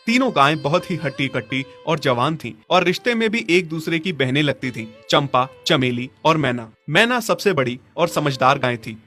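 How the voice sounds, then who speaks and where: A man's voice: fairly high pitch, sing-song, moderate pace, hardly any pauses, sharp tone. A man narrating a scripted story as its storyteller. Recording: music behind the voice.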